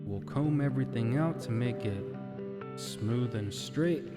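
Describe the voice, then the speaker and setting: Male streamer, mid-pitched, casual spa-roleplay host, heavy reverb with guitar music clearly behind him. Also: unhurried.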